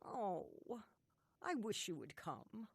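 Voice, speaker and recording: Slow, gentle old grandmother. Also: medium pitch, big pitch swings, gravelly, slightly rough, dry recording, exaggerated voice. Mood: gentle, hopeful. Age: older. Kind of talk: audio drama dialogue.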